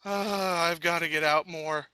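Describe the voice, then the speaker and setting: A man's voice: fairly high pitch, fairly even intonation, measured pace, bright, forceful tone, smooth, no echo. A man voicing a brash, tomboyish young mare who is panicking and stammering in slang. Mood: whiny.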